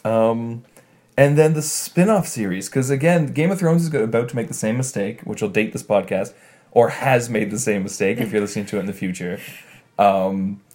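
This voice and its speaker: A man's voice: fairly low pitch, moderate pace. Canadian man, podcast co-host, casual and tired, playful voice impressions. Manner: animated, acts out quotes in silly voices. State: tired, short on sleep. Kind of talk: casual chat.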